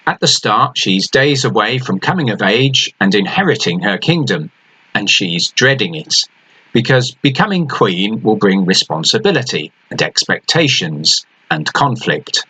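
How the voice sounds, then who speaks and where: A man's voice: fairly low pitch, very animated, unhurried, pushed and bright, husky, low-quality recording. A man, an author earnestly introducing his own novel and reading from it.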